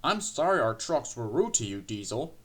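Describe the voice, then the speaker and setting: A man's voice: medium pitch, sing-song, moderate pace. A man voicing an innocently teasing, hard-working male tank engine in a children's storybook. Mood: firm.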